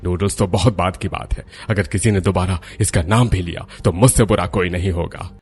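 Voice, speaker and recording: A man's voice: low voice, fairly even intonation, a little fast, a little mellow, husky, faint music behind, heavily acted. A man voicing an angry, strict elderly father in a cartoon story, scolding and threatening. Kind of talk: Hindi cartoon story voice acting.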